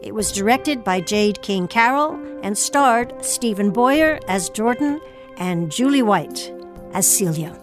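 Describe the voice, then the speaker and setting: A woman's voice: medium pitch, animated, slow pace, over music. A woman hosting a radio arts programme, presenting from a script and putting questions to a guest. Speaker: host and interviewer.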